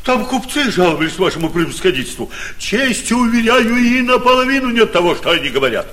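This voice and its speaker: An actor playing a panicky provincial mayor, frantically and obsequiously pleading, in a vintage radio stage comedy. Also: male voice, high voice, sing-song, a little fast, sharp tone, full character voice. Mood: panicked. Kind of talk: classic stage comedy acting, radio play. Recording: vintage 1940s radio theatre recording.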